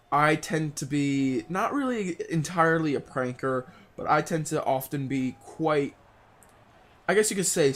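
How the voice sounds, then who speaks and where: A young man telling a casual, unscripted story in a rambling, halting way, often rephrasing himself as he goes. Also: a little high, fairly bright tone. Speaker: online video storyteller.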